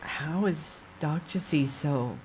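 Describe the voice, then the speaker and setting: A man voicing a shaken, gentle young woman with a hesitant, polite way of talking in a dramatic story reading. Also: on the high side, slow, slight background noise, pitched above the performer's own voice. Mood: shaken, concerned.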